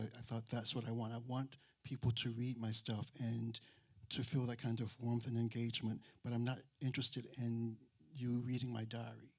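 A middle-aged man talks as a critic on a panel. His pitch sits in an average range and stays very even. He is reflective and measured, often pausing to rephrase. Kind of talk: thoughtful panel discussion. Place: auditorium stage. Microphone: handheld microphone held near mouth.

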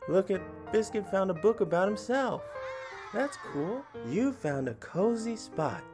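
A man in his thirties hosting a picture-book read-along for young children, animated and chatty, often putting questions to his listeners. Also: high voice, a little slow, music in the background. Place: small indoor room at home.

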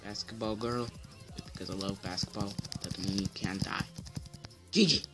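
A teenage boy with a deep voice giving casual gameplay commentary as channel host, rambling with many fillers and restarts. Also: fairly even intonation, moderate pace, music in the background.

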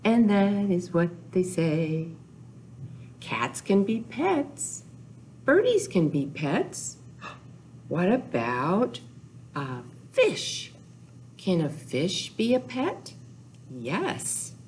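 An older woman hosts a storytime for young children with rhymes and counting. She speaks very slowly in a sing-song, repetitive way, with pitch that moves up and down a lot. There is some background noise behind her. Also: huge pitch swings, darkish tone. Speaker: children's librarian, storytime host. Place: small indoor room with whiteboard.

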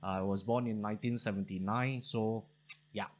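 A man. He speaks warmly and loosely, with frequent ums and restarts. He is recorded close up on a studio mic in a home study. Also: lowish voice, fairly even intonation, moderate pace. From Singapore. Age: 40s. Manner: chatty, thankful, many fillers and restarts. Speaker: history channel host. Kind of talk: casual talk to camera.

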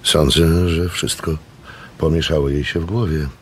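An actor playing a thoughtful witness who narrates a radio drama in a dramatic scripted style. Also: male voice, a bass voice, fairly even intonation, a little slow, slight background noise. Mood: thoughtful, doubting. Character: thoughtful male narrator, sceptical yet impressed witness.

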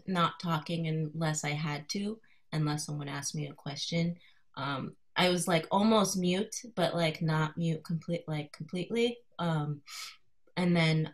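Soft-spoken woman giving a casual artist talk. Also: deep voice. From Colorado, USA. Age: 30s. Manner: soft-spoken, hesitant, many fillers. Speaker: guest artist speaking to a class. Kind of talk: informal artist talk over video call. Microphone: video call computer mic.

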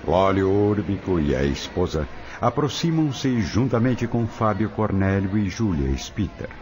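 A man narrates a scripted audio drama in a low, deep voice. His pitch moves expressively from phrase to phrase, over faint ambient noise. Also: very animated, measured pace, darkish tone.

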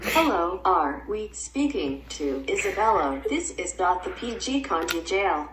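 A woman's voice: fairly low pitch, big pitch swings, a little slow, a bit bright. A woman giving a formal, scripted announcement for a phone call. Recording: distant, noisy home recording of call.

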